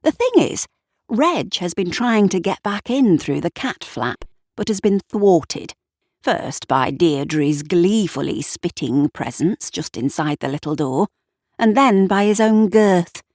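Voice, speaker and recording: A woman narrating a scripted story in the present tense, measured and wry, with deliberate pauses. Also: lowish voice, expressive, darkish tone, no room sound, clean studio sound.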